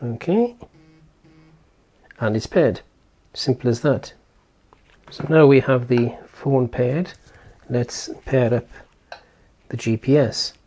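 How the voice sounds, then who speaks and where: A man's voice: medium pitch, slow pace, warm tone, breathy edge. A man giving casual hands-on product commentary as a reviewer, explaining step by step and thinking aloud informally. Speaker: tech reviewer and presenter.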